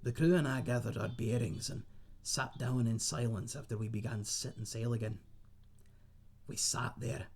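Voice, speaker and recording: A man playing a friendly tavern owner and former sailor, husky and chatty, telling tales in a folksy seafaring dialect in a fantasy audio roleplay. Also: mid-range voice, darkish tone, heavily acted. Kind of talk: ASMR roleplay monologue in a fantasy setting.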